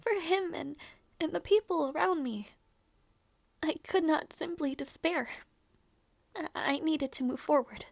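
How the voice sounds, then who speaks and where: A woman playing a grieving, devoted young princess in a dramatic audio roleplay, halting and emotional, with a breathy, whispery delivery. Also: high-pitched, no room sound. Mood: wistful, longing. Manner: halting, repeats phrases, emotional.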